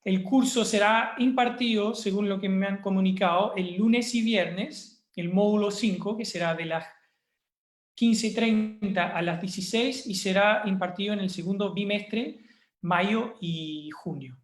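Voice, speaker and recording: Man lecturing in an echoey room. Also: high-pitched. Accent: Chilean Spanish. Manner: thoughtful, pauses with fillers and restarts. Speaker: university lecturer. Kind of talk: online course presentation. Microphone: video call.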